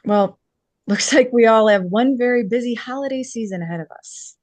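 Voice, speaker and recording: A woman reading the part of a warm, earnest costume designer in a screenplay table read. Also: on the high side, normal speed, no echo, lightly acted. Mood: friendly, chatty. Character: warm, earnest female costume designer back in her hometown.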